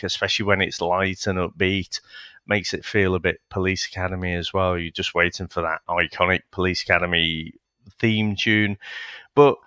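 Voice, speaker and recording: A man with a very low voice and a bright, forceful tone, hosting a casual film review chat. He talks in a measured, easygoing way and laughs along with his co-host. The sound is dry and close-miked. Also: no room sound. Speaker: podcast host.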